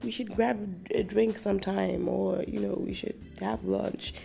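Chatty woman with a creaky, lingering-cold voice. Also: mid-range voice, fairly even intonation, a little slow, mellow, soft background music. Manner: chatty, rambling, frequent restarts and laughs. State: recovering from a cold, chesty cough. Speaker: podcast host. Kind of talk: casual storytelling monologue.

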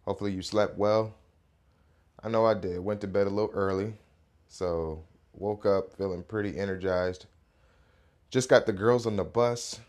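Hoarse, slightly raspy man podcasting. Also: fairly low pitch, moderate pace. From Rochester, New York area. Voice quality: relaxed, rambling delivery with many fillers. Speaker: podcast host. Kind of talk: casual morning podcast chat.

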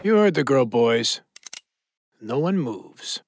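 An actor playing a smooth-talking, placating male gambler in an audio drama. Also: mid-range voice, sing-song, slow pace, very noisy. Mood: firm.

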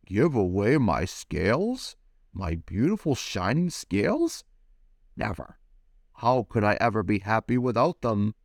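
A man's voice: on the low side, sing-song, measured pace, no room sound, studio quality. A man voicing a proud, vain male fish in a storybook reading, speaking with a haughty, wistful manner.

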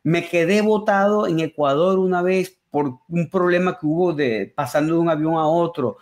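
A man's voice: a little high, normal speed, darkish tone. A man from Venezuela, telling stories with enthusiasm and reflection as a guest in a conversational interview over a remote call. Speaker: interview guest, musician and comedian.

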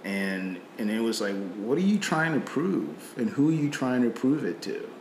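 A man's voice: medium pitch, very animated, moderate pace, echoey room, a little hiss or hum, low-quality recording. A middle-aged man from Nigeria with a slight British-tinged Nigerian accent, speaking reflectively about faith, often repeating words and using fillers. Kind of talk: faith conversation.

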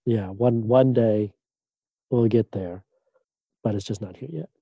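A man, a doctoral student, answering interview questions in a relaxed way, pausing often with fillers and restarts. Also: lowish voice, vocal fry, slightly rough, no room sound, muffled recording. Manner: hesitant, many fillers and restarts.

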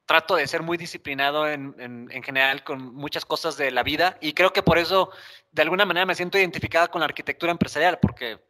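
A man's voice: a little high, fairly even intonation, fast, sharp tone. A man, chatting casually as a podcast co-host. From Monterrey, Mexico. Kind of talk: casual chat.

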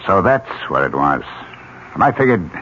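Casting: low-pitched, uneasy skeptic, an ordinary decent colleague in a vintage radio mystery, dull recording. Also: male voice, sing-song, normal speed, fairly bright tone, slight background noise. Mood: troubled. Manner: plain-spoken, hesitant, doubting. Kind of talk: radio mystery drama acting.

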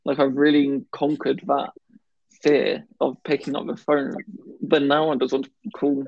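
A man chatting casually in a flat, gravelly voice, stammering and restarting on certain words. The sound is dry and a little dull, with no room in it. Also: medium pitch, unhurried, no echo. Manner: stammers, blocks on some words, many restarts. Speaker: guest talking about his stammer. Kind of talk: casual chat.

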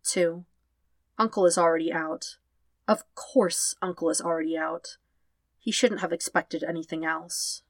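A woman reading fan fiction aloud as scripted narration, in measured, dramatic short phrases. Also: lowish voice, fairly even intonation, dry recording. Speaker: podfic narrator.

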